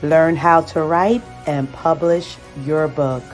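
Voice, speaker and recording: A woman reading a scripted commercial voice-over in a measured promotional way. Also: low voice, fairly even intonation, soft background music. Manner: slow, measured promotional delivery.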